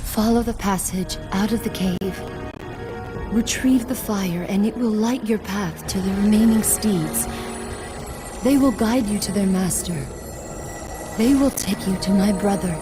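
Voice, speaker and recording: Woman acting a slow, solemn goddess. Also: mid-range voice, over music, natural voice. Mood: grave, pleading. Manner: solemn, formal, measured. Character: solemn, stately goddess urging a warrior onward. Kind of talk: dramatic video game acting.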